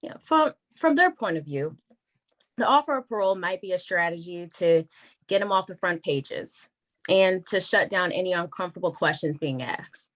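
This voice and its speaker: Woman acting as a wary lady prosecutor in a drama read, kinda low voice, measured n confiding. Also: expressive, natural voice. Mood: frank, analytical. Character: wary, frank female assistant US attorney. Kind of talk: naturalistic script table read.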